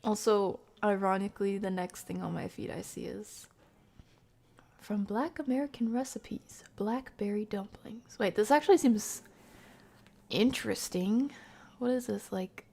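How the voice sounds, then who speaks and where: Woman, streamer, informal chat, reacting aloud, creaky fry, mid pitch. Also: a little slow, long pauses, darkish tone. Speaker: livestream host. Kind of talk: casual chat, reacting to videos and reading chat.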